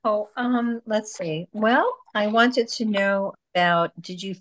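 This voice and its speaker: A woman's voice: medium pitch, slow, fairly bright tone. A woman casually moderating a book discussion in an encouraging, appreciative way. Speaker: book group host.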